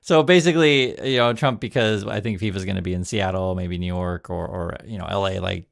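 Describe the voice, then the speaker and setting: A man's voice: low voice, fairly quick, vocal fry. A man hosting a casual commentary show, chatting loosely, restarting words and reading quotes aloud. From United States. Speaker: news commentary host.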